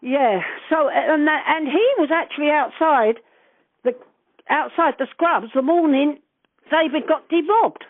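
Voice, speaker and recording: A woman's voice: sits high, unhurried, dry recording. An older woman reminisces casually over the phone, chatty, earthy and blunt, with casual swearing. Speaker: interviewee recalling past events. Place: on a phone call. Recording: narrowband telephone call, dry.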